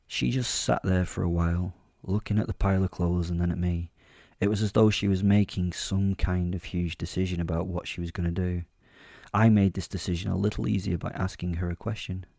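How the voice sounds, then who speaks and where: Male narrator, deep pitch, dark relaxed tone, measured horror storytelling, dry studio sound. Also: creaky, no room sound. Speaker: narrator and voice actor. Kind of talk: first-person audio drama narration, horror fiction.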